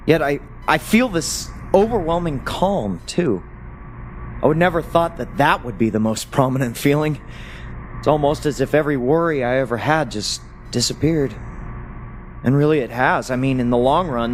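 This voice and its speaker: An actor in an audio play playing a calm, tender, wistful husband who is a dead spy, speaking in a reflective, hesitant way. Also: male voice, mid-range voice, moderate pace, a little hiss or hum, lightly acted. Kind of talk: audio drama dialogue.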